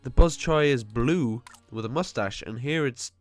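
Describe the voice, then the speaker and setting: A man's voice: medium pitch, faint music behind. A man with a cold and a blocked, nasal voice, chatting casually while commenting on a game he is playing. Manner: nitpicking, chatty, quick asides. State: stuffy nose from a cold. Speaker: gaming video host.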